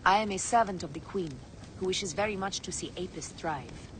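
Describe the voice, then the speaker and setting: An actress playing a composed, confident royal servant and wife in a video game, speaking in a measured, formal way. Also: female voice, lowish voice, noisy background, natural voice. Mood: composed, businesslike.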